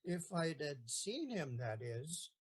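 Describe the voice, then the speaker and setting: A woman's voice: low-pitched, very animated, slow, husky, full character voice. A woman acting the part of a stubborn, half-drunk old male gardener in a comic period play. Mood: tipsy, boastful.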